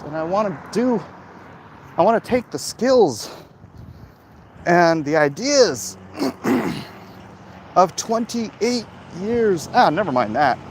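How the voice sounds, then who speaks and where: A middle-aged man talking casually and haltingly to his viewers in a monologue recorded while out walking. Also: high voice, a little hiss or hum. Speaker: comic book creator vlogging. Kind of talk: casual walk-and-talk monologue. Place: outdoors, walking along a hilly route.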